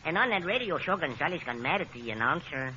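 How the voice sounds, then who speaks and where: A man's voice: a little high, big pitch swings, fast, pushed and bright, highs cut off, heavily acted. An actor playing a cheerful, muddled Mexican handyman in 1940s radio comedy, with a Mexican accent and long-winded explanations. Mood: earnest, muddled. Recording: scratchy, band-limited 1940s radio recording.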